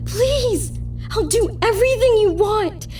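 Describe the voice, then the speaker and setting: A woman acts out a desperate young captive in an animated drama, her voice very high and breaking. She begs tearfully and slowly, heard a little off the mic. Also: distant mic, soft background music, exaggerated voice. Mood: desperate pleading. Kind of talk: animated fan drama acting.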